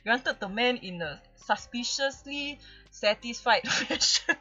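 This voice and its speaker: A woman's voice: fairly high pitch, lively intonation, slow pace, bright, forceful tone, muffled recording, soft background music. A woman with a Singaporean accent playfully reading fan fiction on a livestream, often breaking into giggles. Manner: giggly, often breaking into laughter mid-sentence. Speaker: livestream host.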